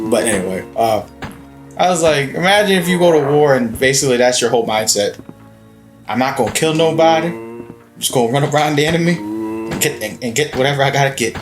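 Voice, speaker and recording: Young male co-host, excitable and teasing, very lively pitch, faint game music. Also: a little fast. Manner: teasing, excitable, laughs often. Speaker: gameplay co-host. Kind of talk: casual gaming chat.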